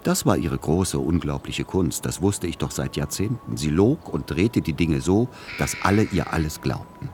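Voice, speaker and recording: Tired middle-aged writer guy, super low voice, resigned radio play narration, slight noise behind. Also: very animated, moderate pace, few pauses, a little mellow, exaggerated voice. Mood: resigned, reflective. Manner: resigned, introspective.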